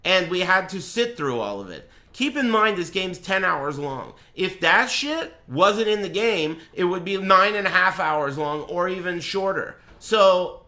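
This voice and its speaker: A man with a high, bright voice streams a video game in a roomy, echoey space. He rants casually and bluntly, with plenty of swearing and exasperated sarcasm. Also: lively intonation, unhurried, hardly any pauses. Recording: roomy echo, faint background noise.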